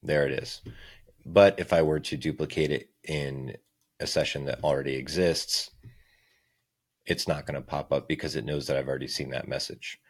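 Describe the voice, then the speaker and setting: Very deep-voiced man presenting a software demo. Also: fairly even intonation, moderate pace, husky, dry recording, studio quality. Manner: explains step by step. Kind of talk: casual screencast tutorial narration.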